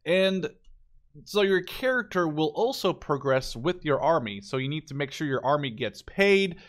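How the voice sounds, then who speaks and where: Man, slightly high voice, game commentary, casual, unscripted, thinking aloud. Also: very animated, moderate pace. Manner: informal, thinking aloud as he goes. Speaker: gameplay video host.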